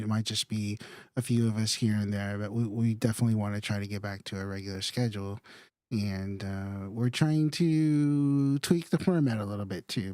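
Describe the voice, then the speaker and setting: A man's voice: mid-range voice, darkish tone, dry recording, clean studio sound. A man co-hosting a podcast in casual chat, rambling and restarting often. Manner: rambling, halting with many restarts. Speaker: podcast co-host.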